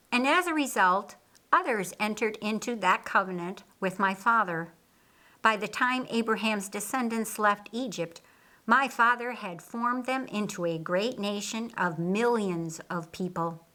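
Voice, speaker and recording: A woman voicing a patient, authoritative male teacher in an audiobook, explaining in a measured, didactic way. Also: medium pitch, sharp tone. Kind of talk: audiobook character dialogue.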